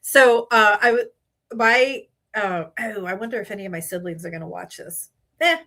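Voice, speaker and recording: Bright-voiced woman, native Spanish speaker. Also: mid-range voice, moderate pace. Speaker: podcast guest. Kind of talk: casual conversational storytelling.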